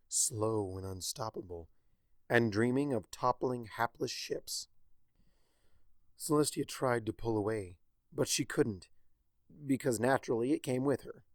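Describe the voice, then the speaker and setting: A man reading a story aloud with a wry, expressive delivery. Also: medium pitch, unhurried, no room sound. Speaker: fanfiction narrator.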